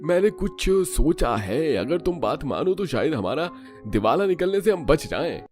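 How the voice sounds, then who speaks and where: A calculating, persuasive male shopkeeper from a cartoon, acted by a man. He speaks at a fairly high pitch over music. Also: very animated, measured pace, a little mellow. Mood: scheming. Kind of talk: scripted cartoon dialogue.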